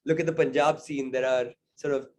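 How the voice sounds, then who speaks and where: A man's voice: mid-range voice, fairly even intonation, a little fast. A man being interviewed as a singer-songwriter, talking casually and thoughtfully, hedging often with "sort of" and "I think".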